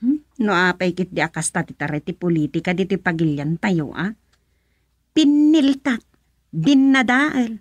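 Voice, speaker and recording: A woman's voice: fairly low pitch, lively intonation, unhurried, no echo. A woman with an Ilocano accent playing an outspoken grown daughter in a radio comedy-drama, emphatic and colloquial. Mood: indignant. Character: outspoken grown daughter talking with her mother.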